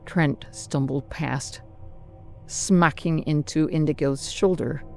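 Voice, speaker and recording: A slow, deliberate horror narrator with a mid-pitched voice that could be a man's or a woman's. The delivery is dramatic and menacing, built on theatrical pauses, with faint music underneath. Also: steady tone, creaky.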